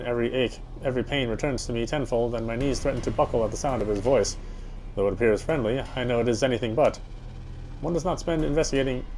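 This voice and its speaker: Casting: male game player narrating horror text dramatically, mid-pitched, slightly stumbling read, over clear music. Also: a little mellow. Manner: stumbles over words and rereads phrases. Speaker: game player reading aloud.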